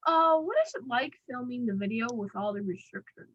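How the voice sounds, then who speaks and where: A woman's voice: on the high side, animated, fairly quick, fairly bright tone, husky, dry recording, muffled recording. A woman hosting an interview, chatty and casual, often repeating her own words. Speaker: interview host. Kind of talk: casual interview over a call.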